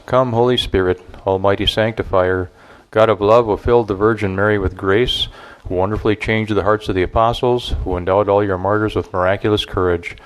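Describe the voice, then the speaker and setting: A man, a priest, reciting an opening prayer in a measured, solemn way. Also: on the low side, fairly even intonation, rough recording.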